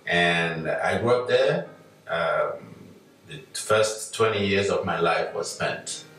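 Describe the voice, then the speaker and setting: Slow, deep-voiced Nigerian man reminiscing. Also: lively intonation, a bit bright, very echoey, far from the mic, faint music behind, low-quality recording. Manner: slow, hesitant with many fillers. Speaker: interview guest, musician. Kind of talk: relaxed reflective storytelling.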